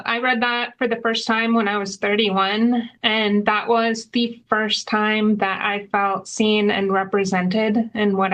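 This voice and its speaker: A woman's voice: mid-range voice, a bit bright, poor audio. A woman on a panel, answering in an earnest, heartfelt way.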